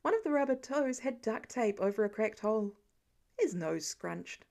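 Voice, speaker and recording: A woman reading fan fiction aloud as an expressive scripted narration. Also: fairly high pitch, moderate pace, vocal fry.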